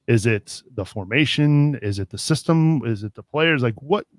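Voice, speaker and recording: A man's voice: medium pitch, expressive, moderate pace, no room sound, clean studio sound. A man hosting a casual sports podcast, steering the talk with plenty of questions. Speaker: podcast host.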